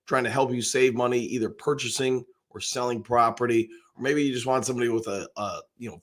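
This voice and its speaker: An older man with a hoarse voice, hosting a casual live stream and rambling along with plenty of fillers. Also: mid-range voice. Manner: rambling, folksy, lots of fillers. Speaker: host.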